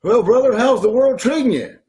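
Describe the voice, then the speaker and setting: A man's voice: very high voice, animated, fairly quick, mellow. A man playing a breezy, freeloading brother-in-law in an old-time radio comedy, jovial and wheedling, over a video call. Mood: cheerful.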